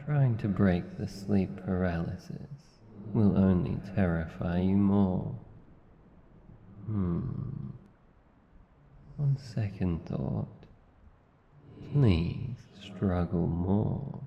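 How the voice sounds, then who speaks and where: A low, gravelly Australian male demon played by a man speaks unhurriedly in an intimate ASMR roleplay, swathed in long reverb. He is a menacing night creature with an awkwardly tender streak. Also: extremely slow, long pauses, exaggerated voice. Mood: taunting. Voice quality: deep, gravelly. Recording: slightly dull, long reverb.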